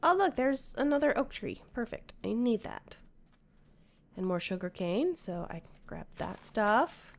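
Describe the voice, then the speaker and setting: Slow-talking woman playing a game, hoarse. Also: on the high side, sing-song. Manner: talks to the creatures on screen, mutters to herself. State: losing her voice, coughing. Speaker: gamer. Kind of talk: casual game commentary. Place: home room with bookshelves. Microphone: headset.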